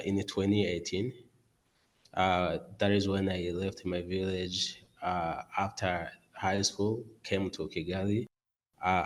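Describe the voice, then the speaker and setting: A man's voice: sits low, poor audio. A man in his twenties from Rwanda, a podcast guest talking earnestly from his office over a video call, with many fillers and restarts. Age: 20s. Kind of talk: podcast interview.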